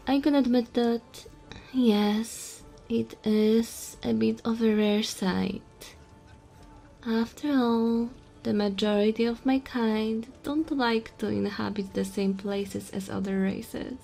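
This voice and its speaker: A woman acting small goblin tavern keeper, very unhurried, mellow tone, playful teasing, fantasy audio drama, music behind. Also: fairly high pitch, fairly even intonation, creaky. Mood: chatty, candid. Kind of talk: fantasy audio roleplay, addressing the listener softly.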